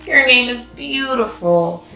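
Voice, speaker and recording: A woman's voice: on the high side, animated, takes it slowly, vocal fry, sharp tone, soft background music, pitched above the performer's own voice, exaggerated voice. Woman as a doting mother mouse, echoey. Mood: reassuring.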